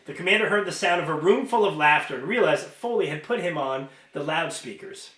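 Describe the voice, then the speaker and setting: Higher-voiced man reading a novel in an echoey room. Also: expressive, brisk, fairly bright tone. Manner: informal, stumbles and self-corrects. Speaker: amateur audiobook reader. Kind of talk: reading a novel aloud, expressive narration. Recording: roomy, echoing home recording.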